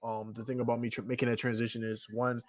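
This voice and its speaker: A man's voice: medium pitch, completely flat delivery, quick pace, no room sound. A man in his twenties co-hosting a podcast, talking loosely and rambling in casual chat. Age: 20s. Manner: loose, rambling, leans on "you know what I'm saying". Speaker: podcast co-host.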